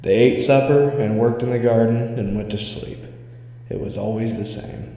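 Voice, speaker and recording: A man's voice: medium pitch, normal speed, mellow, slight background noise. A man narrating a scripted spooky story as a storyteller. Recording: echoey reverberant hall sound.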